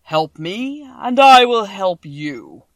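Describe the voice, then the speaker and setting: Woman reader doing a commanding booming wizard head, slow and loud. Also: lively intonation, no room sound, clean studio sound. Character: booming giant disembodied head, stern wizard.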